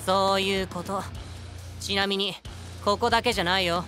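A man's voice: high-pitched, steady tone, moderate pace, bright, forceful tone, soft background music. An actor playing a blunt, flippant young man who speaks in a dry, offhand way. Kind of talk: anime voice drama acting.

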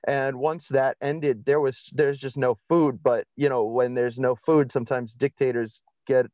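A man is a guest in a conversational interview. He explains emphatically, correcting himself as he goes, at a middling pitch. The recording is dry and somewhat dull and lo-fi. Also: little pitch movement, unhurried, darkish tone, no echo. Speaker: podcast guest. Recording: slightly dull lo-fi audio.

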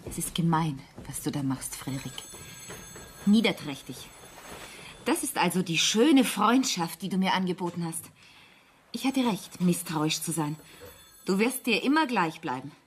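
A woman's voice: fairly low pitch, big pitch swings, unhurried, pauses often, a little hiss or hum. An actress playing a proud, distrustful, desperate woman in her thirties in a radio drama, with an intense, pleading manner. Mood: bitter, accusing. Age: 30s.

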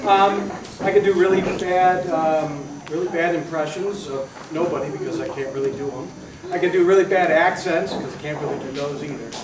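A man's voice: fairly high pitch, moderate pace. A man actor introducing himself on stage in a goofy, self-mocking way. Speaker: actor auditioning. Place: hall stage with audience. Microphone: far camera. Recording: echoey hall, loud crowd, far off.

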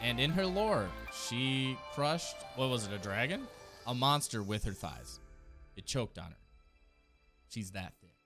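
Animated American man, streaming over music. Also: mid-range voice, measured pace. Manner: enthusiastic, slangy. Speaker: streamer reacting to game character trailers. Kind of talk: casual reaction commentary. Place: small indoor streaming room. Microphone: close desk microphone. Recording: game music behind the voice. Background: music from game trailers.

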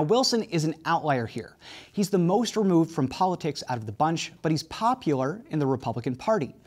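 A man's voice: on the high side, a little mellow. A young man hosting a TV news interview in a studio on a lapel mic, reading a scripted intro and then asking conversational questions. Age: young adult. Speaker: TV news host.

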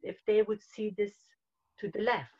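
A woman's voice: medium pitch, steady tone, moderate pace. A woman in her fifties on a video call, describing her thoughts hesitantly and searching for words. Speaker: participant. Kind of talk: hesitant casual chat. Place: small room at home. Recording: dull lo-fi call audio.

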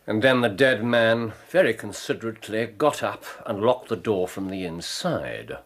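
An actor with an English accent playing an incisive, sardonic, self-assured gentleman detective in a radio drama, speaking precisely. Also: lowish voice, lively intonation, normal speed. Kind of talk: British radio drama acting.

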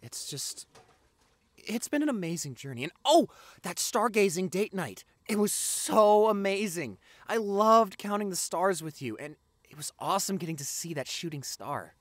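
A young man playing an affectionate, excitable vampire boyfriend in an intimate audio roleplay, gushing and stammering. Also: high voice, normal speed, dry recording. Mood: tender, content. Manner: gushing, stammering, repeats himself.

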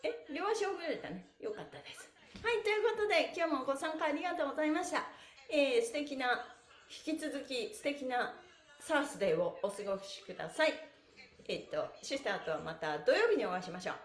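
A woman's voice: high voice, expressive, brisk. A middle-aged woman teaching a live exercise class, cheerfully encouraging viewers and calling out cues in a singsong, polite way. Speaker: stretching instructor and livestream host. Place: small bare indoor room with wooden floor. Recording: echoey room.

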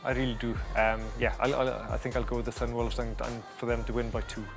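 A man's voice: medium pitch, fast, vocal fry, music in the background. A man in his thirties from Wales, his Welsh accent mostly faded, chatting easily and modestly as a studio guest. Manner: relaxed, easygoing, modest. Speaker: guest, rugby performance coach. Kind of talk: studio interview, casual.

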